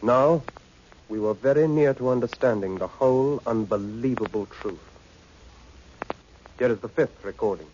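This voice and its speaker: A man's voice: mid-range voice, normal speed, long pauses, a little mellow, a little hiss or hum, natural voice. An actor playing a serious male storyteller narrating a suspenseful 1960s radio serial. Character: serious male storyteller recapping a mysterious affair. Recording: muffled old radio recording.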